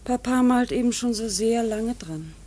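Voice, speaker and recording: A woman's voice: fairly high pitch, warm tone, natural voice. Woman playing a serious, dutiful daughter. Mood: guarded. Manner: sober, measured, admonishing. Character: serious, dutiful painter's daughter, earnest and reserved. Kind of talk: classic German radio play acting.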